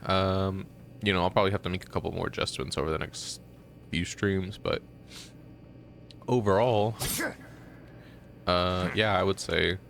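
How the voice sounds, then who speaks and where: A man's voice: low-pitched, measured pace, gravelly, pauses often, a little hiss or hum. A male streamer giving casual, rambling gameplay commentary with a nasal, congested voice, as if he has just woken up. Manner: rambling, relaxed, many fillers.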